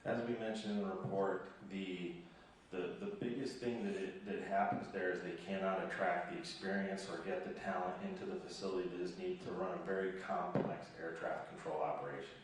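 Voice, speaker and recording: A man giving formal testimony at a hearing as an investigator, answering in a measured, matter-of-fact way. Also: low-pitched, steady tone. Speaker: investigator answering board questions. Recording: echoey room, distant mic, faint noise.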